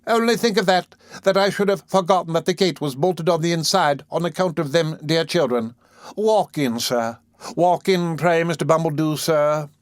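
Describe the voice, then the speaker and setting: A man's voice: on the high side, normal speed, dry recording, clean studio sound, pitched above the performer's own voice, natural voice. A man voicing a fawning, scheming woman who runs a nursery for orphans, gushing and wheedling with overdone humility. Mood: flustered. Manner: gushing, wheedling, overly humble. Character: fawning, scheming middle-aged woman running a workhouse nursery.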